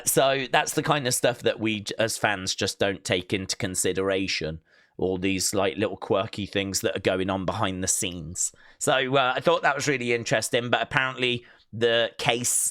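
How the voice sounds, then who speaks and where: Male host, casual sports-entertainment commentary, forceful bright tone, very dry close sound, chatty step-by-step explainer. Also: mid-range voice, no room sound. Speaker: host of a wrestling news show. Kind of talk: casual news commentary and clip reactions.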